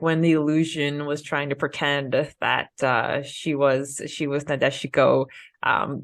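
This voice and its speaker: A woman co-hosting a podcast, chatting casually as a fan in an informal, halting way. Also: deep voice, no room sound, studio quality. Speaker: podcast co-host.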